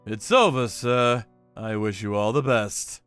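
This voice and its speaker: A man's voice: mid-range voice, measured pace, fairly bright tone, faint music behind. A man narrating a manga story in the first person with a theatrical, cocky delivery.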